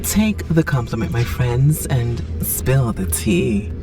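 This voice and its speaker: An actor playing a calm, knowledgeable crew member in a science fiction audio drama, speaking formally. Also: medium pitch, creaky, far from the mic, music in the background, full character voice. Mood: calm, wry. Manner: measured, formal, with some Spanish phrases.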